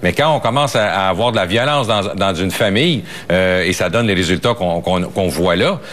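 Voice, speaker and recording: Low-voiced Quebec French man giving commentary. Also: expressive, a little fast, fairly bright tone. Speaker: police and legal affairs commentator. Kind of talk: interview commentary, unscripted opinion.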